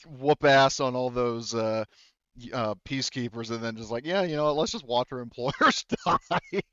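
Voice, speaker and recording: A man acting as game master, recapping and bantering casually in halting, rambling explanations. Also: mid-range voice, fairly bright tone, no room sound, dull audio.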